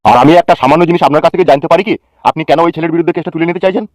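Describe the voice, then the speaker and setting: A man's voice: on the high side, very fast, dry recording, lightly acted. Casting: man as blunt senior cop for comic cartoon, weary and stern, racing delivery, close dry sound. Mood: stern, lecturing. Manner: lecturing, blunt. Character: stern, weary, crude-tongued male senior police officer.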